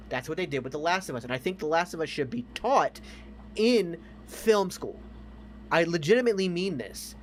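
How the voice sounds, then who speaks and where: A man's voice: on the high side, slight background noise. A man talking casually as a podcast co-host about films and games, emphatic and opinionated, swearing freely. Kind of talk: casual film and game discussion.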